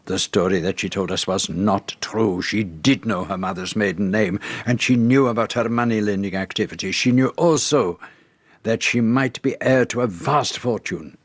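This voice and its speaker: An actor playing a shrewd, assured detective in a radio drama, with a Belgian French accent and deliberate, formal, precise phrasing. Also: male voice, fairly low pitch, no echo, lightly acted. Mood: assured, accusing. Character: shrewd, assured male detective laying out a murder.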